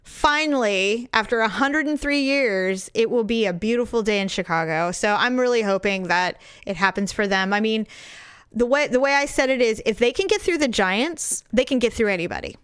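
A middle-aged woman, slightly hoarse, telling a story in casual, animated chat and laughing often. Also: on the high side, normal speed, dry recording, clean studio sound. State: hoarse, recovering voice.

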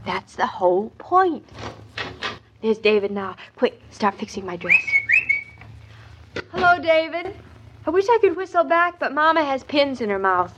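A high, girlish young wife, chattering excitably with a naive, scheming streak. The performance is old-time radio comedy-drama, and the recording is dull and lo-fi. She sounds eager and impulsive. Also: measured pace, pauses often, background noise. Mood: gleeful scheming. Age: young adult.

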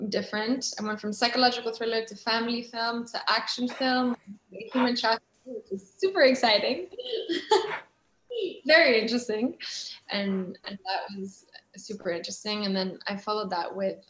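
A woman's voice: fairly high pitch, normal speed, a bit bright. A woman from Switzerland chatting casually as an interview guest, with an enthusiastic, giggly manner. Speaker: guest, actress and producer. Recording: roomy, echoing sound.

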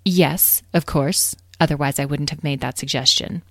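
A woman's voice: deep voice, fairly even intonation, moderate pace, no echo, studio quality. A woman voicing a bossy, snobbish fairy leader in a storybook, with grandiose, emphatic phrasing and biting wit. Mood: brisk, dry. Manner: grandiose, gushing, emphatic, sarcastic.

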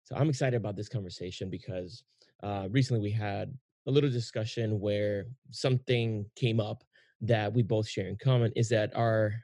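A man's voice: fairly low pitch, steady tone, normal speed, mellow, no echo. A man hosting a podcast, chatting casually with a guest, often hesitating with fillers and restarts. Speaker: podcast host.

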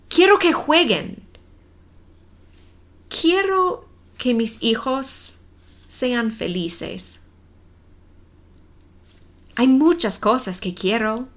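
Woman, forties, non-native accent, teacher, story reading, very slow, animated pitch. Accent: non-native Spanish accent. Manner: careful, deliberate reading with clear pauses. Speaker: Spanish teacher.

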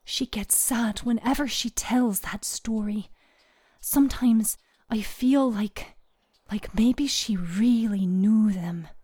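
A woman's voice: a little high, takes it slowly, dark tone, breathy edge, no echo, studio quality. Woman playing an earnest, proud twelve-year-old girl. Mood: wistful.

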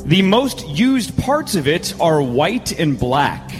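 A man with a high, very animated voice reads quiz clues like an announcer. The sound carries a long reverb from a live venue, with faint music behind him. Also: takes it slowly. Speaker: game show host.

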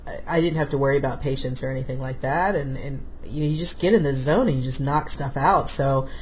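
A woman's voice: a bass voice, quick pace. A middle-aged woman hosting a podcast, talking casually while fighting a head cold. She chats freely and often repeats words as she goes. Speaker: podcast host. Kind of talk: casual conversational chat. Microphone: call over phone or Skype. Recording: dull slightly lo-fi audio with faint noise.

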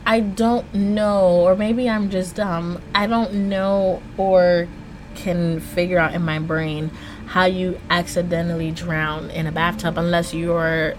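A woman hosting a true crime podcast, switching between scripted storytelling and slangy, informal, animated asides. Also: lowish voice, slow pace, slight background noise.

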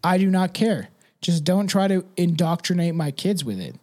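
Man, high-pitched voice, podcast host, blunt, emphatic, sweary, casual opinionated chat. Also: expressive, normal speed, darkish tone. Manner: blunt, emphatic, swears freely, ends phrases with "right" and "man".

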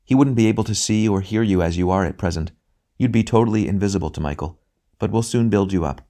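A man voicing a bright spirit, a brother who speaks gently and patiently in a calm, measured way. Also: on the low side, natural voice. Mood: gentle, patient.

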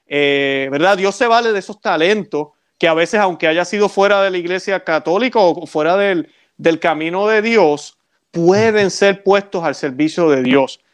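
Host explaining with frequent tag questions. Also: male voice, sits high, normal speed, clean tone. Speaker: interview host. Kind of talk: conversational interview, faith talk.

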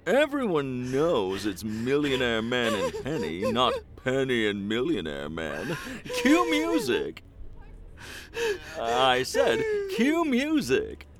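A man's voice: medium pitch, huge pitch swings, slow pace, crowd noise. Man playing a pompous, swooping superhero. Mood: blustering. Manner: pompous, self-satisfied. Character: smug glory-hogging rich male superhero. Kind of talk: comic superhero audio drama.

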